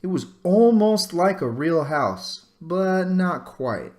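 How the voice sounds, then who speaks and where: A man narrating a children's storybook aloud with dramatic pauses and stretched-out phrases. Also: high voice, very animated, a little mellow. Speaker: storybook reader.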